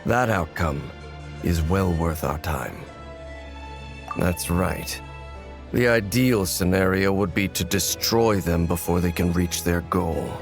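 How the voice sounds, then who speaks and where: Stoic game warrior: lowish voice, solemn, measured, formal delivery, coldly determined, faint music behind. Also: male voice. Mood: cold, resolute. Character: grave, brooding male warrior, coldly determined. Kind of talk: English video game voice acting. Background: background music.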